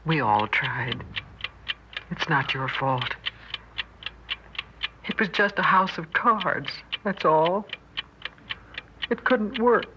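Heartbroken wife in 60s radio play, soft hushed and super slow, muffled old recording. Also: female voice, sits low, expressive, noisy background. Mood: soothing, resigned. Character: loving, heartbroken wife and mother, resigned. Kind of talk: 1960s radio drama acting.

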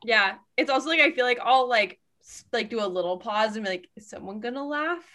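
Bright-voiced woman podcast host. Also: on the high side, lively intonation, a little fast. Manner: casual, chatty, full of fillers. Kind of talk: casual podcast chat.

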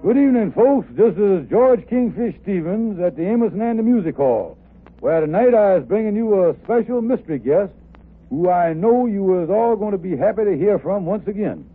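High, raspy, blustering lodge schemer. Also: male voice, expressive, moderate pace, faint music behind. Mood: showy. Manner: folksy dialect with ungrammatical phrasing. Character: wily, blustering lodge leader, chummy, exasperated with his friend. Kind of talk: 1950s radio comedy acting. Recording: tinny, narrow-band old radio recording.

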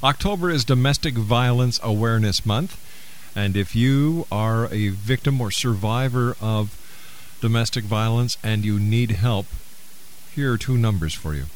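A man's voice: mid-range voice, a little slow, a little hiss or hum, poor audio. A man hosting a radio talk show, steadily reading out phone numbers and station plugs between segments.

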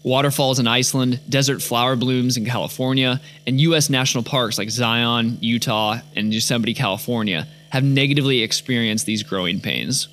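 A man narrates his podcast in a calm, measured voice at a middling pitch. Soft guitar music plays faintly underneath. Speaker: podcast host.

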